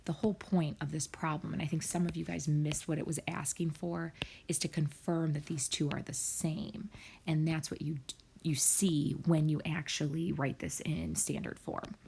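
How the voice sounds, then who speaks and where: Low, gravelly woman teaching math. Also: steady tone, moderate pace, darkish tone, slight background noise. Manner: explains step by step, often drops to a whisper. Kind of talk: recorded screen lesson for students.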